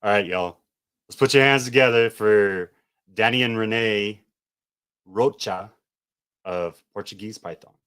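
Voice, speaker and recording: Laid-back low-voiced podcast host. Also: male voice, unhurried, pauses often, smooth. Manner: relaxed, rambling, filler-heavy. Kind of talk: casual podcast chat.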